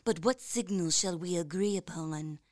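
A woman voicing a cunning, vain fox general in a storybook, sly and drawn-out. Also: on the low side, a little mellow, pitched below the performer's own voice, natural voice. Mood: sly, self-satisfied. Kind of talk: storybook character acting.

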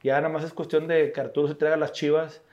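A man's voice: on the high side, level tone, fast, a little mellow, dull audio. A man with a Mexican Spanish accent, co-hosting a podcast in a casual chat with friends, telling stories full of swearing and laughter. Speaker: podcast co-host. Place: studio bar set.

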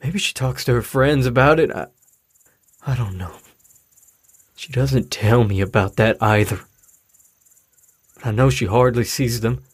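A troubled middle-aged father in a naturalistic audio drama. His voice is husky and downcast, with halting delivery, captured close and dry. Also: medium pitch, no room sound. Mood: dejected, frustrated. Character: weary, troubled, frustrated widowed father of a teenager.

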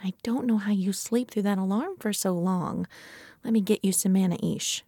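A woman's voice: medium pitch, normal speed, warm tone, slightly rough, dry recording. Doting middle-aged mother, close dry sound. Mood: fussing, caring. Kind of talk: audio drama acting.

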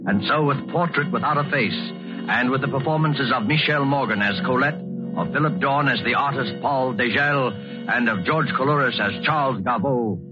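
A man announcing and narrating a 1940s radio drama in a measured, dramatic, scripted style. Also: mid-range voice. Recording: tinny old radio recording, loud music. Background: loud music.

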